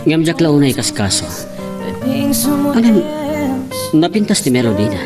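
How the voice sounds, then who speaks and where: A woman plays a teasing Ilocano singer pal in an audio drama. The voice is very deep for a woman and swings animatedly, casual in manner, over loud music. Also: sing-song, moderate pace. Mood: musing. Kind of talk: Ilocano radio-style drama acting.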